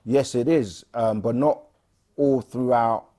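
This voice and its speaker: A man co-hosting a casual livestream, reading viewer questions aloud and answering them with frequent pauses and fillers. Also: mid-range voice. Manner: relaxed, frequent "um" and restarts, reads questions aloud.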